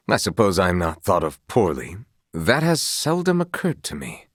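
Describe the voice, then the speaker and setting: An actor voicing a courteous, earnest and gently proud young knight in a video game, with formal, eloquent phrasing. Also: male voice, deep voice, huge pitch swings, measured pace, dry recording, clean studio sound, full character voice. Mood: warm, teasing. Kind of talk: video game character voice lines.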